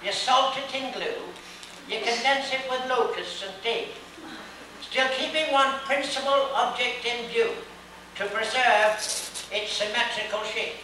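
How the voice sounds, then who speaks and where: A pompous, genial butcher, acted by a man, lectures with gleeful theatrical relish in verse. The voice is bright and forceful, heard from far off in a very echoey hall. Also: extremely high pitch, measured pace, voice pushed up, exaggerated voice. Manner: lecturing, theatrical, breaking into chuckles. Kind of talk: dramatic poetry reading. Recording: distant, very echoey hall, faint hiss.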